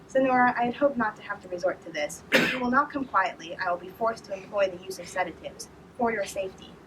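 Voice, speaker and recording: Calm motherly android lady, read by a woman, far from the mic with noise behind. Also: mid-range voice, quick pace, close to an everyday voice. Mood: firm. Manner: calm, soothing and polite.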